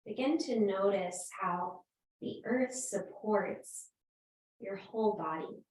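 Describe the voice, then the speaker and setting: A woman yoga teacher guiding a restorative class in a gentle, unhurried, invitational way. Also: mid-range voice, slight background noise. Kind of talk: slow guided restorative yoga instruction. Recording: roomy echo, distant microphone.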